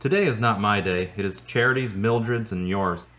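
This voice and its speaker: A man's voice: lowish voice, normal speed, a bit bright, lightly acted. An actor playing a patient, mild, supportive male friend in a radio drama. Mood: patient, tentative.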